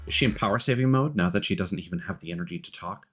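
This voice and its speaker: Low-voiced, lively male game streamer. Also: racing. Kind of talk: reading game dialogue aloud with character voices. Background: game music.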